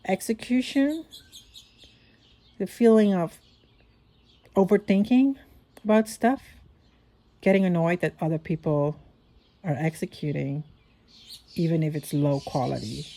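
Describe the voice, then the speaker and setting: A woman talks through her podcast like a long voice note, thinking aloud and restarting her sentences as she goes. Her voice sits a little low and sounds mellow and relaxed. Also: measured pace, long pauses. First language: Dutch. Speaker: podcast host. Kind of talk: casual voice-note monologue.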